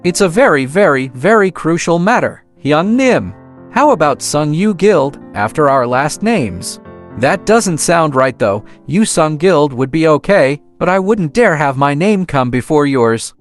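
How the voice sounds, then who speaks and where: A man's voice: a little high, big pitch swings, a little slow, soft background music. A man playing an eager, fussy young vice guild master in a manga dub, earnest in manner.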